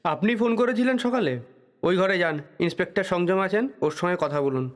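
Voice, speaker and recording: A man's voice: sits high, brisk, close to an everyday voice. Man playing a curt policeman in reverberant hall. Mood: brusque. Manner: brusque, official. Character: curt, guarded male police sub-inspector. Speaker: actor in an audio drama. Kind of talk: Bengali radio play acting. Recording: long reverberant room echo.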